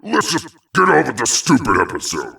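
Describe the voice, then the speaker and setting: A man voicing a brash, grumpy cartoon video game monster with a gravelly, taunting, drawn-out delivery. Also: medium pitch, huge pitch swings, sharp tone, far from the mic, heavily acted. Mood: exasperated. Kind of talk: cartoon game parody voice acting.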